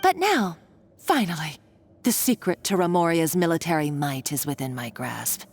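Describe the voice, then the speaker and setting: A woman's voice: sits low, lively intonation, moderate pace. An actress voicing an unhinged, scheming woman villain in a video game, with a theatrical, gloating delivery. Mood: gloating triumph.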